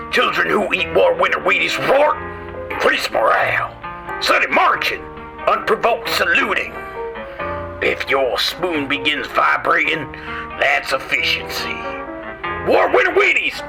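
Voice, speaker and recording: A man's voice: high voice, gravelly, full character voice. A man voicing a patriotic 1940s cereal announcer in a radio ad parody, with a punchy announcer delivery. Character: brash male 1940s wartime cereal announcer.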